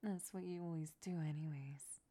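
A woman's voice: deep voice, fairly even intonation, normal speed, mellow. A woman whispering a roleplay monologue to a listener, scolding him and then turning tender and confessional.

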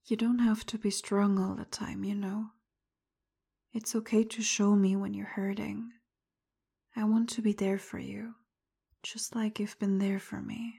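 A woman speaking straight to the listener, first frustrated and confrontational, then soft, apologetic and tender, much of it in a breathy whisper. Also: mid-range voice, level tone, measured pace. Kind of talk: roleplay audio addressed to the listener.

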